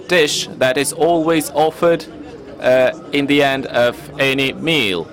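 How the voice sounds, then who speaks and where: A man from Turkey whose first language is Turkish, giving a formal, measured panel presentation at a conference and sometimes searching for words. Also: medium pitch, a bit bright. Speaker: panel speaker presenting his city. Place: conference hall.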